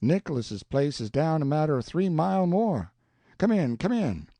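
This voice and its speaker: A man voicing a kindly older Southern gentleman who speaks in a genial, courtly way. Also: fairly high pitch, big pitch swings, moderate pace, no echo, pitched above the performer's own voice.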